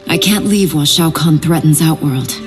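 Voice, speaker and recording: A warrior princess in a video game. She sounds resolute and earnest, set on vengeance, with music clearly behind her voice. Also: sits low, moderate pace. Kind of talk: video game cutscene acting.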